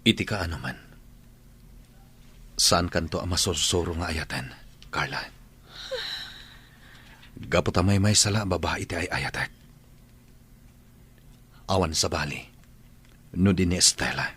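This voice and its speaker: A man with an Ilocano Filipino accent acting a humble, apologetic young employee in a radio drama, with a husky voice. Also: deep voice, a little slow, pauses often, a bit bright, a little hiss or hum. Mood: heavy, regretful.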